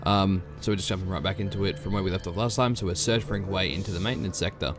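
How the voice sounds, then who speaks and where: A man with a hoarse, croaky voice from a cold, casually thinking out loud as he plays a video game. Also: deep voice, fairly even intonation, fast talker, over music. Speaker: gameplay channel host. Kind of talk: casual let's-play commentary.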